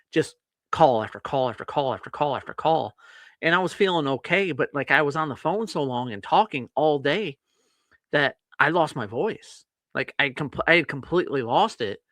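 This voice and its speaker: A man's voice: fairly high pitch, fairly bright tone, dry recording. A man co-hosting a podcast, chatting casually from his home office in a rambling, laid-back way with casual swearing. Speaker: podcast co-host. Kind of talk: casual chat.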